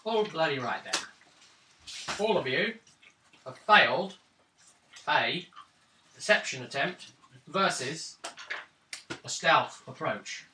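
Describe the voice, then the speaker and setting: A man with a bright, medium-pitched voice runs a tabletop game in an informal, matter-of-fact way. He sounds a little way from the mic in an echoey room. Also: expressive, slow pace, pauses often. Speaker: game master. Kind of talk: casual running of a tabletop game. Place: room, recorded around a gaming table. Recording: roomy, distant mic, faint noise and clicks.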